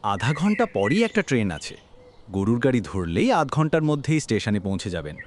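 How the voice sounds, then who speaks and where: A man plays a knowing village shopkeeper in a cartoon. He is nosy, with hugely animated intonation and faint noise behind. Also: mid-range voice, moderate pace, natural voice. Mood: nosy, teasing. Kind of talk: animated story acting.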